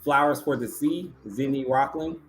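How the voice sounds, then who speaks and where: A man's voice: medium pitch, moderate pace, a bit bright, soft background music. A man hosting an awards ceremony, announcing categories, finalists and winners. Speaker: awards show host.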